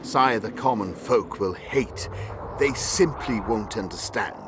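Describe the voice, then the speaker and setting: Slow-spoken, obsequious greedy nobleman. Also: on the low side, background noise. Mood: deferential. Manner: formal, deferential. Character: obsequious, greedy middle-aged nobleman, haggling count. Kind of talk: dramatic video game acting.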